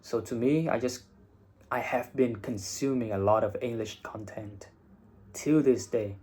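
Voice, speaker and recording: A man's voice: medium pitch, mellow. A young man who talks bluntly and swears casually while giving exam advice in a vlog. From Vietnam. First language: Vietnamese. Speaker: IELTS teacher and vlogger.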